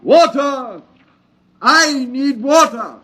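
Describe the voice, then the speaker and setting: An actor playing an old wandering preacher, gentle but fervent, speaking in solemn, formal oratory in old-time radio drama. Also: male voice, extremely high pitch, drawn-out words, exaggerated voice. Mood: calling out, pleading. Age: older. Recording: vintage radio drama recording.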